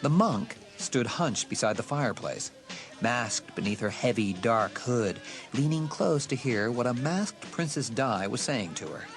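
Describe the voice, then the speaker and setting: A man's voice: mid-range voice, animated, unhurried, over music. A man reading a dramatised horror audiobook as a scripted narrator.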